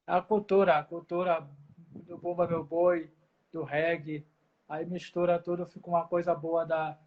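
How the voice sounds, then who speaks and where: A man's voice: a little high, level tone, a little slow, narrow, tinny audio, a little hiss or hum. A man hosting a casual live-stream interview, mostly giving short, affirming replies.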